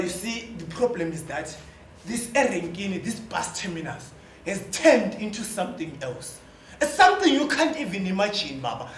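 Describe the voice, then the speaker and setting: Man acting a fervent young Zimbabwean hustler, wildly swinging pitch. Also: fairly bright tone. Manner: emphatic, repetitive, punctuated with slang. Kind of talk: stage play acting. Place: indoor theatre stage. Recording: echoey stage hall, faint noise.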